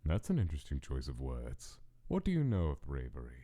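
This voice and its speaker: Casting: cold male scientist villain acted by a man, very low and mellow, stern, probing, deliberate. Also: wildly swooping pitch, exaggerated voice. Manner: deliberate, stern interrogating delivery. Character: cold, menacing male mad scientist, controlling and coolly probing. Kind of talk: dramatic comic dub acting.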